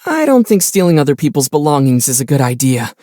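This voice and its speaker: A man voicing a calm young traveler hero in a video game, measured and gently teasing. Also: a little high, no room sound, studio quality. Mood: thoughtful. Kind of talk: video game character dialogue.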